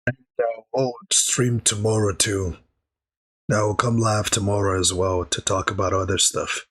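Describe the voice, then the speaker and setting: A man with a hoarse, recovering voice, chatting through a live anime reaction stream and thanking viewers in the chat. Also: on the low side, flat delivery, a little slow, a bit bright.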